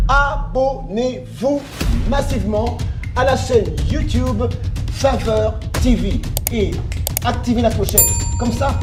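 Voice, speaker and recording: A man hosting an online talk show, energetic and hyping the audience as he opens the episode. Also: sits high. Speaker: host. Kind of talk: show intro and casual chat. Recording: music behind the voice.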